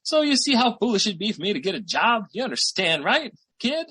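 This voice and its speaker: Raspy bright voice, man acting boastful scheming boyfriend, slangy hustler patter, 1940s radio comedy, swooping delivery. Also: sits high, sing-song, brisk, exaggerated voice. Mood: grandiose scheming. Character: fast-talking, boastful small-time schemer boyfriend, eternally scheming big deals.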